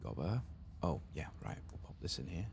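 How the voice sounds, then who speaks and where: A man giving hushed, laid-back ASMR game commentary, speaking softly and casually close to the mic. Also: very deep voice, very animated, brisk. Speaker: ASMR gaming host. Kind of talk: soft-spoken and whispered ASMR game commentary. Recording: clear background noise and game ambience.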